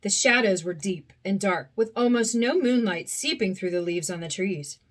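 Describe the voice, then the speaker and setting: A young adult woman reading a children's storybook aloud with an expressive, animated delivery. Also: medium pitch, moderate pace.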